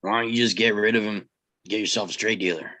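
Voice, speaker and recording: A man's voice: lowish voice, fairly even intonation, fast, sharp tone. An actor playing a cool, confident, laconic frontier lawman in a screenplay table read. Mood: curious. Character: self-assured western ex-lawman, cool and practical.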